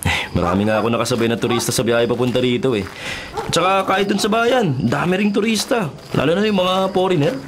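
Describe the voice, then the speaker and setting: A man's voice: on the high side, animated, fast talker, noisy background, close to an everyday voice. A man playing a skeptical, self-reliant journalist in his twenties in a radio drama, with a Filipino accent and a conversational, questioning way of talking. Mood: curious, animated. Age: 20s. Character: skeptical 23-year-old male journalist, faithless and self-reliant.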